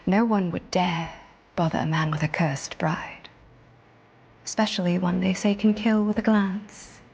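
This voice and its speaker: A woman's voice: lowish voice, fairly even intonation, a little slow, creaky, a little mellow, slightly rough, full character voice. An actress playing a determined young noblewoman in a period romance drama, with earnest, formal old-fashioned diction. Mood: composed, persuasive. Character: determined young noblewoman seeking escape through marriage.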